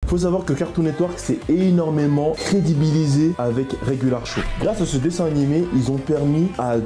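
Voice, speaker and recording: A man's voice: fairly high pitch, warm tone. A man in his early twenties narrating in a chatty, informal video-essay style, often addressing viewers directly. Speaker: video creator narrating cartoon history. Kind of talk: casual YouTube narration mixing script and asides.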